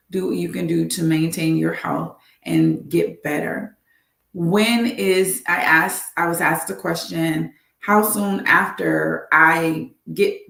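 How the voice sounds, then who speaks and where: A woman's voice: sits low, creaky, roomy sound. A woman doctor hosting a live health talk, earnest and repeating her advice for emphasis.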